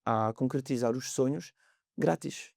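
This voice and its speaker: A man's voice: medium pitch, measured pace, vocal fry, darkish tone, no echo, studio quality. A man in his thirties from France with a French accent, a guest on a podcast interview, talking in a hesitant way with many fillers. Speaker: mortgage broker guest.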